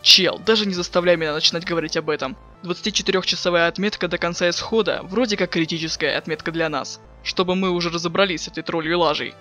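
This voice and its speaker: A sardonic, slangy teenage boy voiced by a man from a webcomic dub. He rattles lines off quickly in a highish, sharp voice. He is impatient and too cool to care. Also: fairly even intonation, soft background music. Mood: matter-of-fact. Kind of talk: dramatic reading of a webcomic chat.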